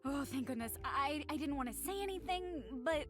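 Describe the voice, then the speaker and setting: A woman's voice: high voice, animated, moderate pace, music in the background. A woman voicing a chipper, slightly anxious and prim female robot driver with dry sarcasm in a video game cutscene.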